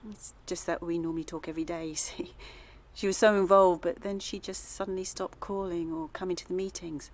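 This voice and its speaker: Actress as a low-voiced, earnest, worried campaigner. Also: female voice, fairly even intonation, fairly quick, close to an everyday voice. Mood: anxious, polite. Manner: hesitant, apologetic, earnest. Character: polite, earnest, worried woman protest campaigner. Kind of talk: naturalistic radio drama acting.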